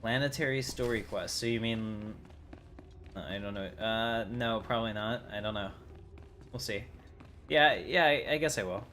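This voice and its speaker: A man's voice: on the low side, moderate pace, pushed and bright. A man giving casual, rambling livestream commentary as he thinks aloud while playing a game. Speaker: livestream host. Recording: clearly noisy background.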